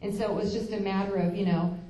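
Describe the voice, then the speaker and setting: A woman's voice: mid-range voice, steady tone, quick pace, mellow, slight background noise. Older woman chatting, far from the mic. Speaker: pastor's wife, co-speaker. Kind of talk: conference workshop talk. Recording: dull, roomy recording from far off.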